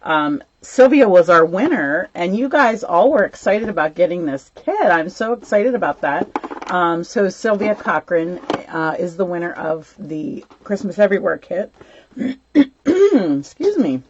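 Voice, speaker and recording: A woman's voice: fairly low pitch, big pitch swings, measured pace, dull audio, slight background noise. A woman hosting a live stamping class from home, chatting casually with viewers and showing craft supplies, her voice a little worn as she says she is losing it. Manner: chatty, greets viewers by name, many ums. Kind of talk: casual live-stream chat and craft demo.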